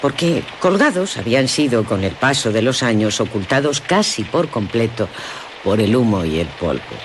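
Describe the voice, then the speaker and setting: An actress playing Laura, a wistful, easily amazed young gentlewoman in a Spanish radio drama, with a Castilian accent, moving between hushed narration and excited exclamation. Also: rumbling low, moderate pace, background noise.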